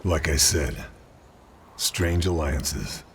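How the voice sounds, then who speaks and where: An actor playing a terse, guarded special forces sniper in a video game, speaking dryly. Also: male voice, very deep voice, lively intonation, very slow, fairly bright tone, a little hiss or hum, full character voice. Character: gruff, wry British special forces sniper named Carl. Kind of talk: cinematic video game dialogue and mission narration.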